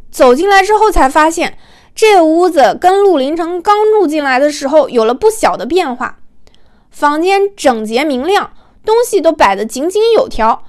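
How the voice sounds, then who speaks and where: A woman audiobook narrator telling a light romantic comedy in a lively scripted storytelling style. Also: high-pitched.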